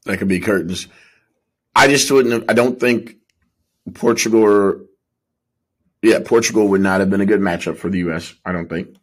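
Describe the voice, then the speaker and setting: A man with a deep voice and a husky grain chats about soccer as a podcast co-host. His delivery is casual and conversational. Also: normal speed, long pauses.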